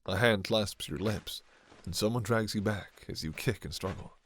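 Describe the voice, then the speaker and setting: A man's voice: lowish voice, normal speed, fairly bright tone. A man narrating a scripted story in the second person. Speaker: narrator and performer.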